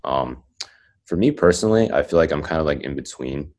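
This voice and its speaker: Very deep-voiced man, reflective chatter. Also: animated, fairly quick, a little mellow. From grew up speaking Cantonese at home. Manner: reflective, heavy use of "like" fillers. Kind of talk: casual group chat.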